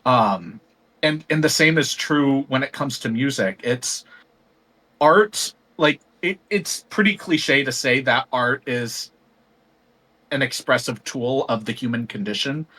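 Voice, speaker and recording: A man talking as a guest singer in a casual interview, thoughtful and rambling with many pauses and ums. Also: medium pitch, pushed and bright.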